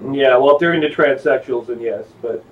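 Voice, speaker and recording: A man streaming a video game, chatting casually with fellow players in a halting way, repeating words as he goes. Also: mid-range voice, recorded from a distance, a loud crowd. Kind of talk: casual gamer voice-chat banter. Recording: dull, noisy headset audio, off mic.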